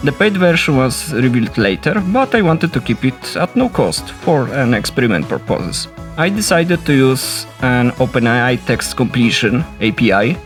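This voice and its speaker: A man from Poland, a native Polish speaker with a Polish accent, narrating a devlog about his game in a steady, explanatory way. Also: mid-range voice. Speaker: solo indie game developer.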